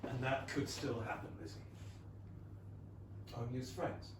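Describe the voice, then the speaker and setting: A man's voice: medium pitch, unhurried, close to an everyday voice. Man playing coaxing Regency parent, echoey theatre. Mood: coaxing, compassionate. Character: gentle pleading parent of grown Regency daughters. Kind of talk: staged theatre reading. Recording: echoey theatre, distant noisy mic.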